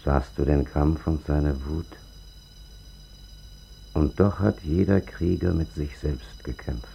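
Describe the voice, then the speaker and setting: An actor in a radio drama playing a solemn, grandiose old warrior grandfather, with a raspy voice and archaic, ponderous oratory. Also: very deep voice, slow pace, dull audio, slight background noise, heavily acted. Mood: solemn, proclaiming. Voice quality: gravelly, raspy.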